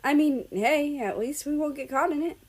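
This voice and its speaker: A woman voicing a playful, excitable young man on a road trip in a story reading, casual and a little bashful. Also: high voice, normal speed, voice pushed up, lightly acted. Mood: relieved.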